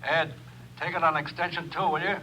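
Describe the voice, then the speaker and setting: A man's voice: on the high side, flat delivery, a little fast, pushed and bright, a little hiss or hum, poor audio. An actor playing a terse police headquarters man speaking urgently over a telephone in a radio drama. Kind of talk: 1950s radio crime drama acting.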